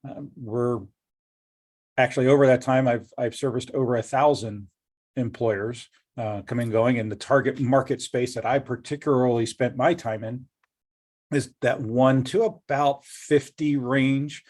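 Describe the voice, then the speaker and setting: A man's voice: medium pitch, fairly even intonation, measured pace, a little mellow. Jokey middle-aged man hosting. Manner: jokey, upbeat, rambling. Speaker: host, company executive. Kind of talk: casual interview talk.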